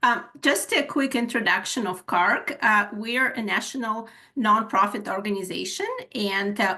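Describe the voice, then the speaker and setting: Ukrainian accent woman doing an hr webinar, sharp bright tone, lots of uhs. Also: mid-range voice. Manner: frequent filler sounds, reads from slides. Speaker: HR manager presenting a webinar. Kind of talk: webinar presentation. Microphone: video call.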